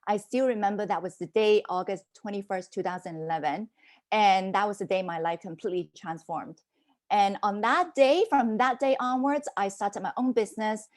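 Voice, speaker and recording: A woman in her forties, a business mentor raised in Hong Kong and Canada, telling her life story as a podcast guest in an animated, conversational way. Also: medium pitch, normal speed. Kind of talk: casual interview storytelling.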